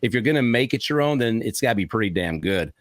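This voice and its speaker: A man co-hosting a radio talk show who talks in an energetic, opinionated way about music. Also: medium pitch, fast, dry recording, clean studio sound. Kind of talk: casual radio chat.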